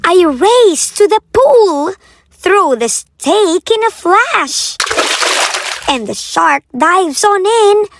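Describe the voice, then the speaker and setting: A woman's voice: extremely high pitch, sing-song, drawn-out words. A woman reading picture books aloud for young children in a playful, theatrical storytelling manner. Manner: playful, theatrical, acts out the dialogue. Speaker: children's storyteller and channel host. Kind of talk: expressive picture-book read-aloud. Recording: very noisy background, clicks.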